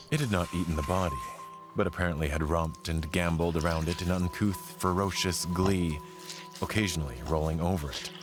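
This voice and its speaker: A man gives a measured, solemn, literary narration of a scripted horror tale. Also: deep voice. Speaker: storyteller narrating an audio play. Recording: music behind the voice. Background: background music.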